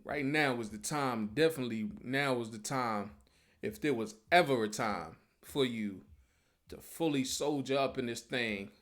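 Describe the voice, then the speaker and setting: A man's voice: medium pitch, moderate pace, a bit bright. Guy preaching a religious class, informal, keeps repeating himself and checking you follow. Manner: repetitive, peppered with rhetorical check-in phrases. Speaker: religious teacher leading a class. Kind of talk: informal sermon.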